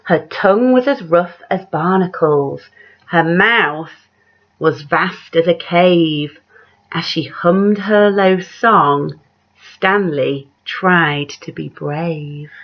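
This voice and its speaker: Slow, expressive woman reading a storybook. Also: on the low side. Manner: dramatic, measured delivery with questions to the listeners. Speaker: teacher reading a picture book aloud. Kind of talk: expressive children's story reading with asides to young listeners. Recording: dull, lo-fi sound.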